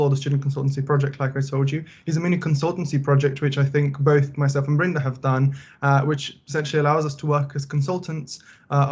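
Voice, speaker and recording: A young man from Bulgaria, a final-year student, giving a relaxed, conversational talk with many fillers. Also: fairly high pitch, highs cut off. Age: young adult. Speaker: student presenter. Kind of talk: casual presentation.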